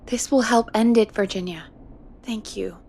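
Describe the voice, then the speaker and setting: An actress playing a level-headed, cautious radio show producer in a video game, composed and practical. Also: female voice, fairly high pitch, moderate pace, vocal fry, warm tone, a little hiss or hum.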